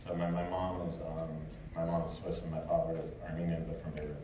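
A low-pitched man fields audience questions in a loose, chatty way, full of fillers and restarts. He is picked up from across a big, reverberant lecture hall, with steady background noise under his voice. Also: fast. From United States. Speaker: lecturer and translator. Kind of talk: casual Q&A after a talk. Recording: echoey hall, distant mic, noisy.

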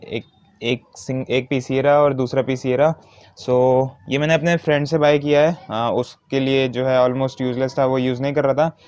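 A man's voice: medium pitch, a little mellow, no room sound. Man, casual tutorial, dull lo-fi sound. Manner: informal, explains step by step, mixes in English words. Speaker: motovlogger giving a how-to demonstration.

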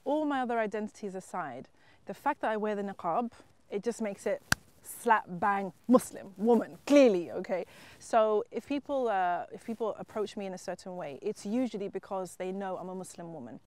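Casting: middle-aged woman from Zimbabwe, mid-pitched, earnest and reflective, interview monologue. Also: animated, normal speed, a little mellow, slight background noise. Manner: earnest, reflective, emphatic at times. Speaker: writer and entrepreneur. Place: wheat field outdoors.

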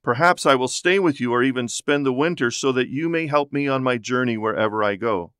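A man's voice: medium pitch, a little fast, fairly bright tone, clear, resonant tone, no echo, clean studio sound. A man giving a conversational, explanatory Bible teaching talk as a podcast host.

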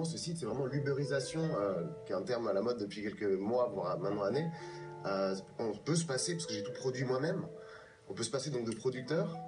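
A man of thirty-five from Paris explaining himself haltingly as a guest in a TV interview. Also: medium pitch. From France, born in Paris. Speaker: guest, pianist and composer. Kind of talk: live TV interview. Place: TV news studio, replayed from a television. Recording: distant, off a TV screen, faint music.

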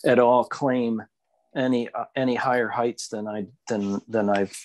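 A man's voice: medium pitch, steady tone, measured pace, a little mellow. A man co-hosting a podcast, talking casually and reflectively, feeling his way through phrases and often repeating words. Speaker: podcast co-host. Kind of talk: reflective casual discussion of spirituality.

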